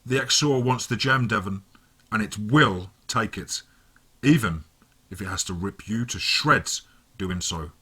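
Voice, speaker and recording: A man voicing a sinister male villain who gloats calmly over the phone. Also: on the low side, husky, exaggerated voice. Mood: calm menace. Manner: calm, mocking, drawn-out menace.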